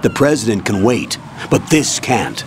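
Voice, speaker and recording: A man's voice: fairly low pitch, very animated, unhurried, slight background noise. An actor playing a stern male colonel giving curt orders over the radio in a video game cutscene. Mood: commanding. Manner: curt, commanding, briefing orders. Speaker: commanding officer on radio.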